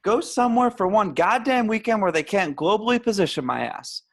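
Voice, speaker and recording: A man's voice: sits high, animated, a little fast. A man at an amateur table read playing a paranoid young stoner who rambles in grand, wordy pronouncements. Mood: indignant ranting. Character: paranoid young male stoner, rambling anti-establishment philosopher.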